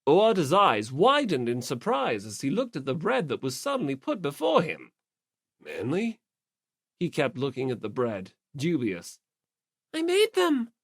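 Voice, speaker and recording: A woman's voice: extremely low pitch, wildly swooping pitch, normal speed, few pauses, breathy edge, no room sound, studio quality. A woman reading a story as a scripted audiobook narration.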